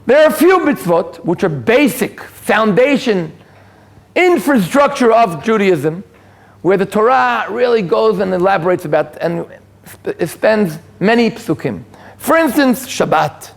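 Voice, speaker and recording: An Israeli man whose first language is Hebrew gives a relaxed talk to an audience in a community hall. His voice sits high and carries a gravelly fry. He stumbles through restarts and fillers and drops in dry jokes at his own expense. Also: a little slow. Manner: self-deprecating, joking asides, frequent restarts and fillers. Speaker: lecturer. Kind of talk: informal lecture to an audience.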